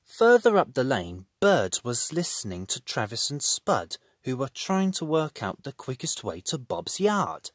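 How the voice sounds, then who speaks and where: Male storybook narrator: unhurried, highly animated intonation, dry and close. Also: no room sound. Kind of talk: expressive children's story reading.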